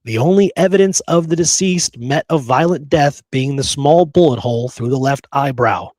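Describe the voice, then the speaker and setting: Man, history show host, conversational, reads sources aloud, mid pitch, dry close sound. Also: normal speed, darkish tone, no echo. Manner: chatty, with frequent "you know" and "okay" asides. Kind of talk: casual history talk, reading sources aloud.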